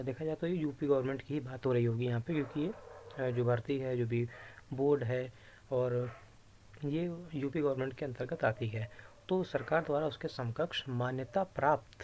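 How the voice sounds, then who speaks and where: A man talks in an informal explainer style, like a presenter guiding viewers through a document. He repeats and rephrases key points as he goes, at a middling pitch. There is faint noise in the recording. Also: darkish tone. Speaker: YouTube presenter explaining recruitment rules. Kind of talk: informal screen-share explainer.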